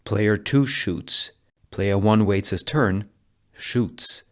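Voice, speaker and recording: A man's voice: fairly low pitch, extremely slow. A man reading scene directions as scripted narration for a Western table read.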